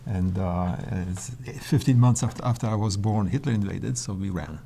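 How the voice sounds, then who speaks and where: A man's voice: fairly low pitch, normal speed, vocal fry, warm tone, a little hiss or hum. An older man from Poland, whose first language is Polish, recalling his early life in a hesitant interview manner with many restarts. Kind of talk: reflective interview answers.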